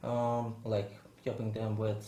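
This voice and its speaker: A man from Bulgaria with a Bulgarian accent, a fitness coach talking casually as an interview guest in halting, hesitant phrases. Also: lowish voice, completely flat delivery, warm tone. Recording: roomy echo, clear background noise.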